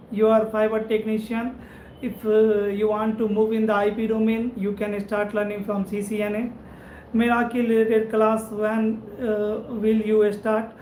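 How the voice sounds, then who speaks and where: A man with an Indian English accent chats on a live stream in a steady, flat tone, warmly thanking viewers one by one. His voice carries a roomy echo, and faint background noise sits under it. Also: high-pitched. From India (Madhubani, Bihar). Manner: friendly, repetitive thanks, frequent "right?" tag. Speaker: networking instructor and channel host. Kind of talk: live-stream chat reading viewer comments.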